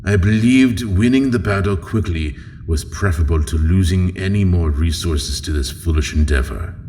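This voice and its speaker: A proud alien nobleman, played by a man, very deep and formal. He speaks like a calculating, reluctant subordinate in an audio drama, with long hall reverb around him. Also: a little mellow, lightly acted. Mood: measured, disapproving. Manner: formal, measured.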